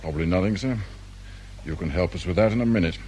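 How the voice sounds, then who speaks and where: An actor playing a calm, methodical British detective inspector in a radio drama, measured and politely persistent. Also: male voice, low-pitched, breathy edge. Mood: composed, official.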